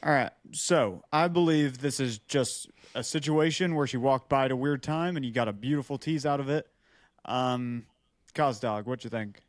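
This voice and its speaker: A man's voice: medium pitch, moderate pace, dry recording, clean studio sound. An American man co-hosting a podcast with casual banter. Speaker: podcast co-host.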